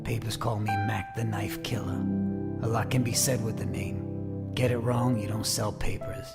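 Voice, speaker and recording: Glib showbiz wiseguy, creaky gravel voice, cynical, noir radio play, loud music under it. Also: male voice, fairly low pitch, steady tone, normal speed. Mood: glib, wry. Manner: glib, wry, bantering. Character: glib, wisecracking showbiz man, breezy and cynical. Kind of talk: noir audio drama acting.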